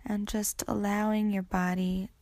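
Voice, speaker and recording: A woman guiding a meditation in a soothing, unhurried way, with breathy ends to her phrases. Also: medium pitch, level tone, darkish tone, no room sound. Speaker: yoga nidra meditation guide. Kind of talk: guided meditation narration.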